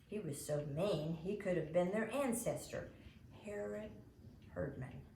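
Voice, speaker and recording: Slow, lively woman reading a story aloud. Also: fairly low pitch. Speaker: storybook reader. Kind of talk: animated read-aloud storytelling. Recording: roomy echo, faint background noise.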